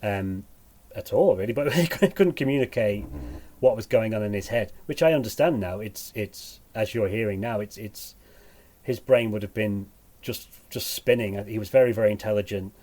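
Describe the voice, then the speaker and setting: Low-voiced northern English man. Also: darkish tone. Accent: northern English, Sheffield-tinged. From England. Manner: halting, self-correcting, dryly wry. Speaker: podcast guest, musician and teacher. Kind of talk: casual podcast conversation.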